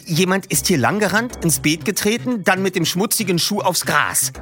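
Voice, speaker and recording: A man voicing a frightened teenage boy detective who stammers in a hushed voice. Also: high-pitched, expressive, normal speed, soft background music, voice pushed up. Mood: urgent.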